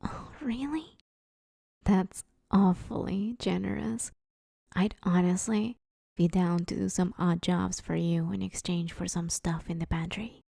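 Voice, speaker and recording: A woman's voice: lowish voice, fairly even intonation, a little slow, creaky, mellow, no room sound. A woman playing a broke, sheepish but scheming villain in a conversational comedy audio roleplay, wheedling her neighbor in an awkward, hesitant way. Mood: eager, bargaining.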